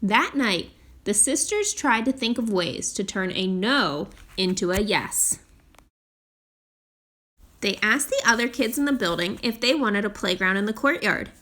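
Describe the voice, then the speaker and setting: A woman reading a children's picture book aloud in an expressive, deliberate storytelling manner with drawn-out emphasis. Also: mid-range voice. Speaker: storytime reader.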